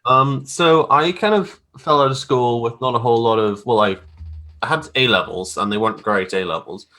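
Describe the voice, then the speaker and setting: A man's voice: mid-range voice, moderate pace, bright, forceful tone. A man in his thirties with a Belfast accent, telling anecdotes in an informal, rambling, self-deprecating way. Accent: Belfast, Northern Irish. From Northern Ireland. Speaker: podcast guest, graphic artist. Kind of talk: casual chat, anecdotes.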